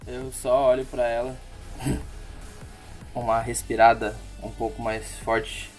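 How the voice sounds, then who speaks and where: Male player, Brazilian accent, flat intonation, terse first-person roleplay, faint music. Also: mid-range voice, slow pace.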